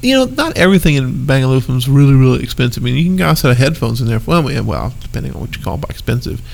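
Guy, kinda gravelly, stuffed up nose, rambling podcast chat, laughs a lot. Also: mid-range voice, sing-song, very fast. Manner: easygoing, laughs often, rambling asides. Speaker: podcast co-host. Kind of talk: casual chat.

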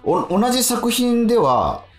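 A man's voice: very high-pitched, wildly swooping pitch, a little slow. A middle-aged man co-hosting a radio show in casual, talkative chat. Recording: radio talk with faint background music. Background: faint music.

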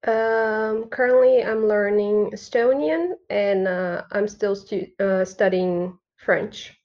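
Woman, gravelly, hesitant. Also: medium pitch, slow, darkish tone, muffled recording. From Brazil. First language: Brazilian Portuguese. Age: 20s. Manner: hesitant, many uh fillers. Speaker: university student guest. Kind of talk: podcast interview answers.

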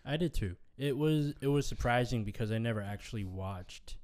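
A man's voice: medium pitch, lively intonation, normal speed, a little mellow. A man co-hosting a podcast, chatting casually about a movie in a laid-back way, mostly with short replies of agreement. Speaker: podcast co-host. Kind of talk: casual movie review chat.

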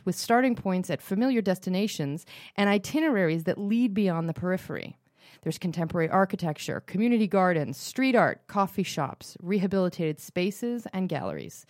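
A woman with a slightly low voice hosts a podcast, reading a prepared intro and then chatting easily with her guest. The sound is dry and close to the mic, with no room around it. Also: no echo. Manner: measured, friendly interviewer with short backchannels. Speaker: podcast host. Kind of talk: interview, scripted intro then casual chat.

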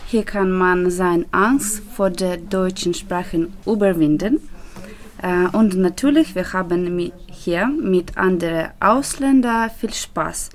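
Slow-spoken woman learning German. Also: lowish voice. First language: not German. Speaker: course participant.

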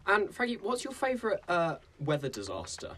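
A man asking a guest questions, halting and trailing off as he goes. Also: high voice, huge pitch swings, fairly bright tone, distant mic. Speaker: interviewer.

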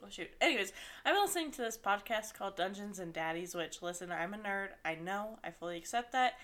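A woman's voice: mid-range voice, lively intonation, fast, a bit bright. A young woman with a slight lisp co-hosting a podcast in rambling, giggly casual chat. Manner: giggly, rambling, laughs often. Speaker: podcast co-host.

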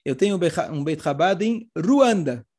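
A Brazilian man, hoarse with a cold, telling religious stories informally and acting out the dialogue as he goes. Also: fairly high pitch, animated, normal speed, a little mellow. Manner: acts out dialogue while retelling. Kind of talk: animated informal religious storytelling talk.

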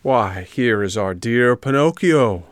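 A man's voice: on the low side, measured pace, no echo, studio quality. A man voicing a sly fox full of theatrical false sympathy in a storybook reading. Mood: gushing.

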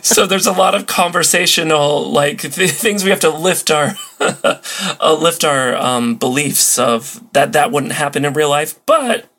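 A man speaking as a podcast guest, explaining in a casual, animated and jokey conversational way. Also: fairly high pitch, measured pace. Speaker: podcast guest, old-time radio enthusiast.

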